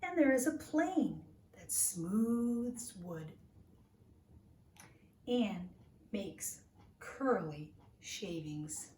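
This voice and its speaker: A woman reading a children's story aloud like a teacher, with deliberate, simple phrasing for small children. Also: medium pitch, lively intonation, warm tone. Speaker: teacher reading a picture book to young children. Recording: roomy echo, distant mic, faint noise.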